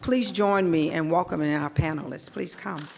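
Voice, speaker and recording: Gravelly-voiced woman introducing panelists. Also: sits low, moderate pace, darkish tone, a faint crowd. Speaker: moderator. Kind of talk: formal introduction. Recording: long hall reverb.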